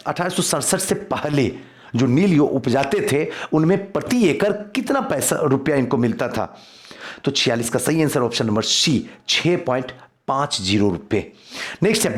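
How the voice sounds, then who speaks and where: Higher-pitched man teaching, very animated intonation. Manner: instructive, repeats questions and answers for emphasis. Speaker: exam-prep history teacher. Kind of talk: quiz lesson, reading questions and explaining answers.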